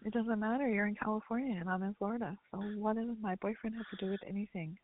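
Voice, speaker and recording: A woman's voice: mid-range voice, fast talker, slight background noise. A woman on a lo-fi call, trading casual banter with blunt, dismissive comebacks. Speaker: phone chat line caller. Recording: dull lo-fi line, dry.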